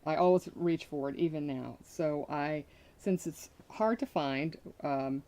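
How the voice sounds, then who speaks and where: A woman's voice: sits low, measured pace, mellow. A woman in her sixties, from Connecticut, chatting cheerfully as a guest and showing sewing techniques, with frequent small laughs and fillers. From Connecticut, USA. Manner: cheerful, laughs often, fills with uh and um. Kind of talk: casual chat and sewing demonstration.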